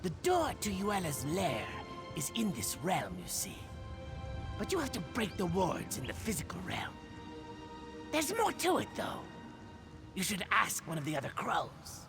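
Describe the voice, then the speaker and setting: A suspicious talking crow from a fantasy game. The mid-pitched voice leaps up and down dramatically as it questions and confides, over clear background music. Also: female voice, wildly swooping pitch, a little slow. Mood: confiding. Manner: suspicious, theatrical, quizzical. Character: wary, chatty crow spirit in nature realm. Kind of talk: video game character acting.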